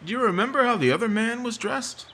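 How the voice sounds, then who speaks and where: Man acting small-town sheriff, husky, high and bright, sing-song, drawling, 1950s radio drama. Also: expressive, quick pace, exaggerated voice. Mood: probing. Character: folksy, patient small-town male sheriff.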